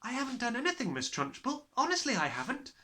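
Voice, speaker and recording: A reader voicing a clever little schoolgirl who earnestly pleads innocence, in a voice pitched much higher than the reader's own. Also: huge pitch swings, fast talker, bright, forceful tone. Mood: pleading.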